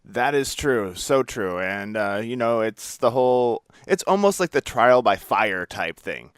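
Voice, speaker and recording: A man's voice: mid-range voice, lively intonation, unhurried, clear, resonant tone, dry recording, clean studio sound. A man hosting a podcast in a casual, informal interview style, with an easygoing, rambling way of talking. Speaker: podcast host.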